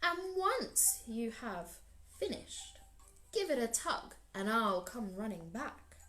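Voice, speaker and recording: Woman voicing a high, eager spider trickster. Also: slow pace, faint music behind, heavily acted. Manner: eager, wheedling, gasping with excitement. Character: excitable little male spider trickster.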